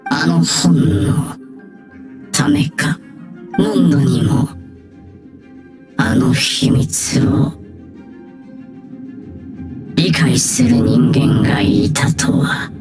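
A woman voicing a sinister, haughty little masked mage villain in an anime game, halting and menacing. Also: sits low, very animated, creaky, darkish tone, exaggerated voice. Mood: suspicious, menacing. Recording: echoey, distant, faint music behind.

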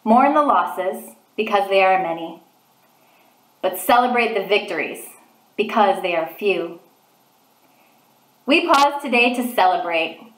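A woman in her thirties or forties preaching an online sermon in an earnest, deliberate way, recorded in a small room at home. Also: medium pitch. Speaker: preacher, clergy. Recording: roomy, echoing home recording.

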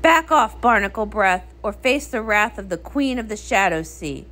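A woman's voice: medium pitch, lively intonation, moderate pace, pushed and bright. A woman voicing a haughty young mermaid princess with a prim, dramatic delivery in a comic read-along. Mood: defiant.

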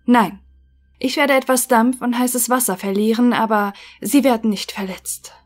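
A woman playing a formal, melancholic thinking machine in an audio drama, answering in clipped, measured phrases. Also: on the high side, steady tone, faint music behind, lightly acted. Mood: polite, worried.

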